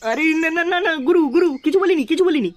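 A man's voice: very high voice, fast. A man acts out a comedy cartoon sidekick, a cheeky fellow. He needles and placates in a fawning way. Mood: pleading. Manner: teasing, then placating. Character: cheeky, fawning male sidekick of a gang boss.

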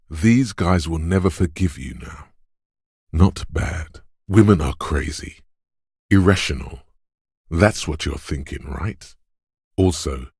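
An actor voicing the gruff, macho inner voice of brute physical strength in a video game, gravelly, deliberate and commanding. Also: male voice, rumbling low, a little mellow, no room sound, studio quality, exaggerated voice. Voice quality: gravelly, husky. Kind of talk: dramatic game narration.

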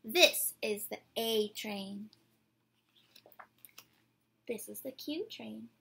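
A woman in her twenties doing an expressive, theatrical picture-book read-aloud for children, drawing out words and putting questions to her young listeners. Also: fairly high pitch, extremely slow, vocal fry, a little hiss or hum. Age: 20s. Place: small decorated room indoors.

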